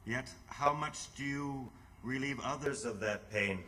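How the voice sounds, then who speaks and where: An actor playing a solemn, ageless, otherworldly mentor in old-time radio theatre, speaking in grave, measured, formal phrases. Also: male voice, mid-range voice, pushed and bright, slight background noise. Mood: preaching.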